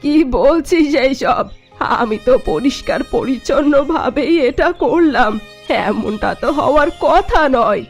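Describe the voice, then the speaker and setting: A woman voicing a gentle older village aunt in a cartoon, plaintive and bewildered. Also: high-pitched, expressive, measured pace. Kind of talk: cartoon dubbing. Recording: faint music behind.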